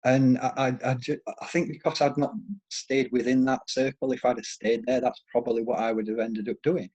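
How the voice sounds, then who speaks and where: A man in his fifties with a Yorkshire accent softened by years in Scotland, rambling through anecdotes in a casual conversation with frequent restarts. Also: medium pitch, little pitch movement, a little fast, husky, low-quality recording. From England, Yorkshire.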